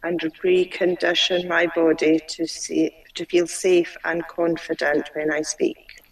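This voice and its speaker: A woman client who stutters, slowly repeating phrases after her coach over a video call. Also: deep voice, level tone, distant mic, rough recording.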